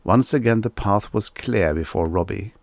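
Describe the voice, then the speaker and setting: A man reading a short story as an audiobook narrator, in a measured, expressive storytelling manner. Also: fairly low pitch, vocal fry, no room sound.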